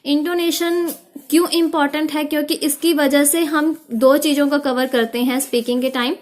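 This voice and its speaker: A young woman teacher giving a video lesson on speaking skills, explaining steadily and stating short tips slowly. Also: sits high, fairly even intonation. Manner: explains in mixed Hindi and English, reads out English tips slowly. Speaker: IELTS teacher.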